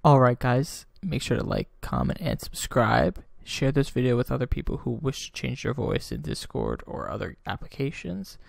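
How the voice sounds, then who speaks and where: A young man casually narrating a software tutorial as its host, close on a desktop microphone. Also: mid-range voice, wildly swooping pitch, moderate pace, gravelly, few pauses, dry recording. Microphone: close USB desktop microphone.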